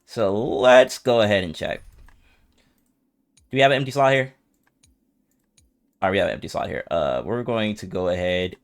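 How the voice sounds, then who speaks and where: A man's voice: on the low side, expressive, moderate pace, pauses often. A man doing casual gameplay commentary as a streamer, informal and slangy, thinking aloud as he plays. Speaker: video game streamer.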